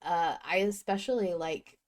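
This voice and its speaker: Woman, low pitch, podcast host, casual chat, enthusiastic. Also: a little slow.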